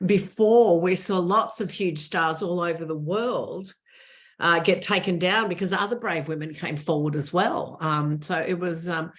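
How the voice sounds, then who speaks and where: A woman's voice: on the low side, measured pace. A woman in her sixties, a guest in a conversational interview, speaking earnestly and emphatically and repeating words for stress.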